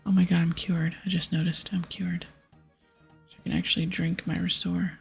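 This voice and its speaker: Woman, breathy ASMR whisper, lowish voice, relaxed gameplay murmuring. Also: moderate pace, soft background music. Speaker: gaming ASMR host. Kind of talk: whispered ASMR game playthrough, reading dialogue aloud.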